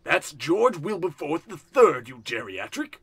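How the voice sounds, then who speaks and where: A man's voice: fairly high pitch, sing-song, a little slow, fairly bright tone, slightly rough, no room sound, heavily acted. Man playing a pompous rich boss, swooping delivery. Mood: scornful. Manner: pompous, bombastic, scornful. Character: pompous, greedy, cruel, rich male boss. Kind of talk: parody of old-time radio drama.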